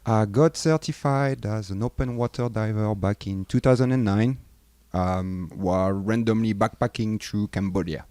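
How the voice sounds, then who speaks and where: A man from France with a French accent, a guest diving instructor explaining things in a relaxed way. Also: fairly low pitch, normal speed, dry recording. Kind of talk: casual interview.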